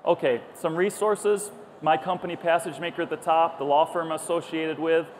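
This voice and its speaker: Higher-voiced man presenting over hall noise. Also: moderate pace. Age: middle-aged. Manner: conversational, animated storytelling, acts out dialogue. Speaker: company founder, conference speaker. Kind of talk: public talk with anecdotes. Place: stage at a busy trade show hall. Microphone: clipped lapel mic. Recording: clear background noise of event hall.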